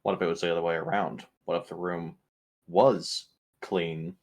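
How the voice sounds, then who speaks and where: A man's voice: low voice, flat delivery, normal speed, a little mellow. A man playing a tabletop roleplaying game, talking casually as he reasons through the story with the group.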